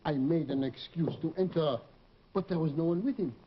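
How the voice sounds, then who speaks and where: A man's voice: a little high, brisk, breathy edge. An actor playing an older, practical French father with a French accent, questioning and grumbling in a radio drama. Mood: curious. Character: fretful French father, older working man, practical and skeptical. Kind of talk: 1940s radio drama acting. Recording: dull, noisy old radio broadcast.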